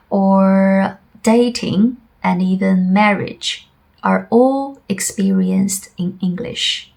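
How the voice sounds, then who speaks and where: A woman's voice: medium pitch, gravelly. A woman whose first language is Chinese, a Chinese teacher from China, reflecting earnestly on her own life in English. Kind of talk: personal reflective monologue.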